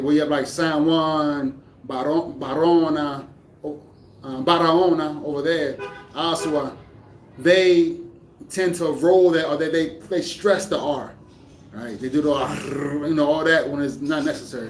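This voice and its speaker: A man talks casually to his camera, rambling and restarting his sentences as he works out his thoughts. His voice sits a little high for a man and comes from some distance away, in a room that echoes, with a faint hum of ambience behind him. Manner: rambling, restarts often, thinking aloud. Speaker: vlogger. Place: living in the Dominican Republic.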